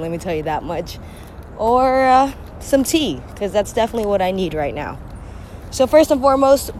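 A young woman talks through her solo podcast with a scratchy voice from a cold. She is chatty and candid, clearing her throat now and then. Faint traffic noise sits behind her. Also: mid-range voice, darkish tone. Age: young adult. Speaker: podcast host. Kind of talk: casual solo podcast monologue.

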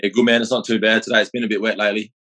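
An Australian man in his thirties tells his story in a low, even voice that barely moves up or down. He is plain-spoken and rambling, and he often restarts his sentences. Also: racing. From Australia (Perth). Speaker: guest, gym owner and boxer. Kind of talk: casual storytelling in an interview.

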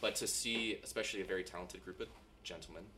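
A man's voice: low-pitched, steady tone, fast talker, pushed and bright, a little hiss or hum. An American man interviewing a band, asking long, rambling questions. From United States. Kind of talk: podcast interview.

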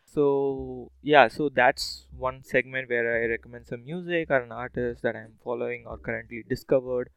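A man's voice: medium pitch, steady tone, normal speed, gravelly, fairly bright tone, clean tone, dry recording, low-quality recording. A man hosting a podcast solo, talking casually in a rambling, hesitant, informal way. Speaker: podcast host.

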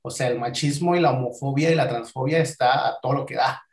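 An echoey room carries the voice of a Mexican man in his forties. He speaks at a middle pitch, reflective and candid, sharing personal stories as an interview guest. The odd English filler word slips into his Spanish. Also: fast talker. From Acapulco, Mexico. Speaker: podcast guest.

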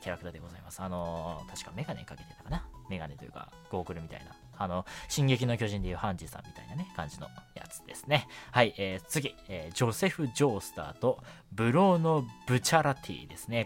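A man hosting a night radio show, chatting casually and politely on his own, often punctuating his talk with short affirmations. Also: on the low side. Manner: chatty, polite presenter phrasing with frequent short self-affirmations. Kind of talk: casual solo radio talk about anime and manga.

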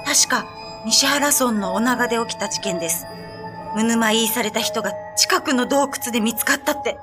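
An earnest, troubled village woman voiced by an actress in a radio drama. Her voice is on the high side, set against clear background music. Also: moderate pace, close to an everyday voice. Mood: fearful, troubled. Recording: voice over loud background music.

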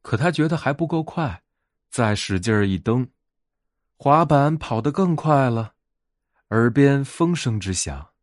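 Guy telling a bedtime fairy tale, expressive, pitch moves a lot, very dry recording. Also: unhurried, no echo. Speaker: storyteller, bedtime story show host.

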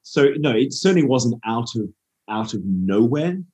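A man's voice: mid-range voice, very animated, moderate pace, dark tone, muffled recording. A man in his thirties, raised in Australia, chatting modestly as a podcast guest, with many "you know" fillers. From Scotland, raised in Western Australia. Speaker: guest, opera singer and nutritionist. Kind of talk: relaxed conversational interview.

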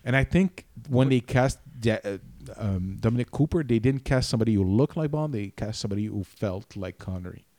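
Halting man in casual film talk, dry sound. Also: on the low side, expressive, darkish tone, no room sound. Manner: halting, often restarts sentences mid-thought. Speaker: podcast co-host.

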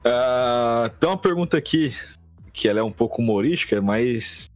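A man's voice: medium pitch, lively intonation, moderate pace, faint music behind. A laid-back man co-hosting a casual podcast, reading viewer questions and joking along.

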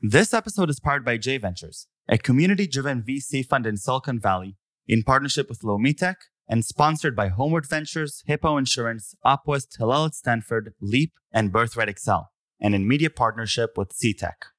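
A man's voice: mid-range voice, brisk, fairly bright tone. A man hosting a podcast, reading a scripted introduction and then asking the guest questions with emphatic enthusiasm. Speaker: podcast host.

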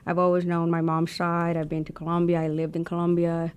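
A woman in her thirties from Texas, a podcast guest talking in a jokey, lively way. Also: on the low side, a little fast, smooth. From Houston, Texas. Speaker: guest, community organization worker. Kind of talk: conversational interview.

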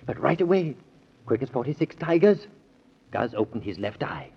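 A gentle father, spins a bedtime tale in a husky voice that rises and falls widely. His playful, indulgent old radio delivery comes through a dull vintage recording. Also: medium pitch, very animated, normal speed, full character voice. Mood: excited storytelling. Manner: indulgent, dramatic storytelling with repetitions. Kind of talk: 1930s radio children's drama acting.

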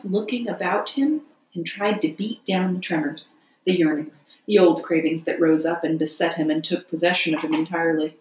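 Female storybook narrator, mid-range voice, expressive read, echoing room. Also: medium pitch, a little hiss or hum. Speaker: audiobook reader.

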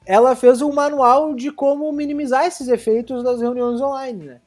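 Podcast lady explaining stuff, lots of restarts and "né", warm mellow sound. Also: sits high, fairly even intonation, moderate pace, soft background music. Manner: rambling, many restarts and tag questions. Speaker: podcast co-host. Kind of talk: casual explanatory chat. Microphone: live streamed podcast.

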